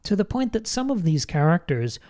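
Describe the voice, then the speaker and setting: A man's voice: sits high, big pitch swings, a little fast, darkish tone. A man co-hosting a podcast, casually laying out points about a book one by one with wry asides. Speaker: podcast co-host.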